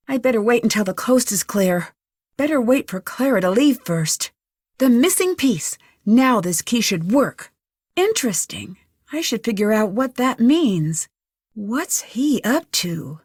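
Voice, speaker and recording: An inquisitive young female detective talks to herself through a mystery game. Her voice sits fairly high and moves in very lively contours, earnest and level-headed. The recording is close and dry. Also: a little slow, slightly rough, no echo, full character voice. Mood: focused, pondering. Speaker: video game protagonist. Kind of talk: game voice lines, thinking aloud.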